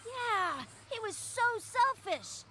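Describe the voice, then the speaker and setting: A woman voicing a feisty, outspoken young child in a video game, with an excitable, blunt delivery. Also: very high-pitched, takes it slowly, very noisy, exaggerated voice. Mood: indignant. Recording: game music under dialogue.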